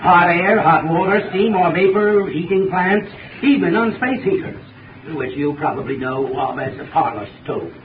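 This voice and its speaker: A man giving a formal, deliberate 1930s radio advertising talk as an expert. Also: high-pitched, expressive. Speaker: heating expert in a sponsor spot. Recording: phone-like, echoey, distant, noisy 1930s radio recording.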